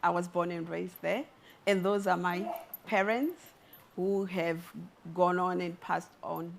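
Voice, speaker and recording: A middle-aged woman from Zimbabwe, an artist giving a talk with a spoken-word poem, delivered in a slow, rhythmic, measured way. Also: on the low side, fairly even intonation, vocal fry, a little hiss or hum. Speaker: artist and speaker.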